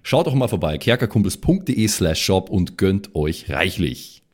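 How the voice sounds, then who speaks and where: A man's voice: sits low, sing-song, unhurried, darkish tone. A man giving a casual merchandise promo in tongue-in-cheek rocker slang. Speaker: show regular promoting merchandise.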